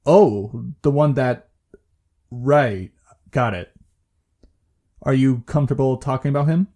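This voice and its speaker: A woman acting as a calm therapist with a soft, mellow voice. She prompts gently and carefully, giving the patient room, in the style of an intimate audio play. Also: rumbling low, slow, exaggerated voice. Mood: gentle, careful.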